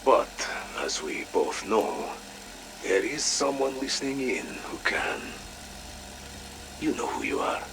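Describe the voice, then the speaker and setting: An actor playing a measured, dryly sardonic Soviet operative in a video game audio log, speaking deliberately and with pauses. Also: male voice, medium pitch, sing-song, background noise. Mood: firm, pointed. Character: measured, wry male Soviet operative, quietly principled.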